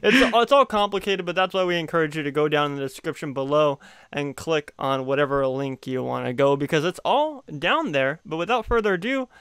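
A young man hosting a casual video-call show, upbeat and quick to laugh. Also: a little high, expressive, fairly bright tone, clear, resonant tone, no room sound. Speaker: podcast host. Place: home room, video call. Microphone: studio condenser mic on stand.

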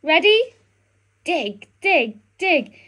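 Woman putting on a bossy, high-pitched storybook badger. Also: big pitch swings, extremely slow, sharp tone, exaggerated voice. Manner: emphatic, chanting, sing-song commands. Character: bossy, self-important male badger, gleefully pushy.

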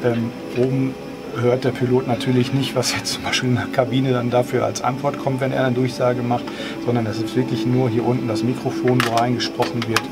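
A man explaining things in a casual, measured, slightly hesitant vlog style. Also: mid-range voice, level tone, over loud music. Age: 50s. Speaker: host of a home cockpit tutorial channel. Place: small home flight simulator cockpit, indoors.

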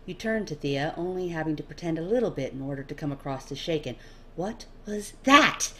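A low-voiced woman reads fiction aloud in an expressive storytelling style. The recording has faint noise behind her. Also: fast. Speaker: amateur audiobook reader. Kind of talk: expressive scripted second-person story narration.

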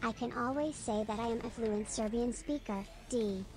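A fairly high synthetic female voice reads viewers' chat messages aloud. It is a text-to-speech read-out, with faint music behind it. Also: normal speed, a little mellow.

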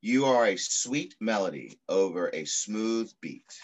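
A middle-aged man reading aloud in the style of a children's picture book, with measured, deliberate phrasing. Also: on the low side, big pitch swings. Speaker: pediatrician reading a story aloud. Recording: muffled video call audio.

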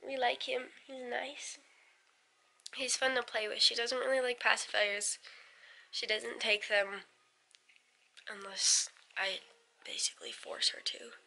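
A woman's voice: fairly high pitch, level tone, a little slow, creaky, long pauses, bright, forceful tone. A young American woman, around twenty, chatting in an informal, rambling way as in a casual home vlog. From United States. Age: late teens to about twenty. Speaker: vlogger, young mother. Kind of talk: casual family vlog chat. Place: indoors at home. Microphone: handheld camera at arm's length. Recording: noticeable background noise, handheld home recording.

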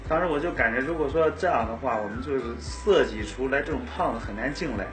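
A young man speaks casually in a sit-down interview, pausing with fillers as he explains himself. He is recorded inside a restaurant, and music plays clearly beneath his voice. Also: mid-range voice, lively intonation. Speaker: restaurant owner.

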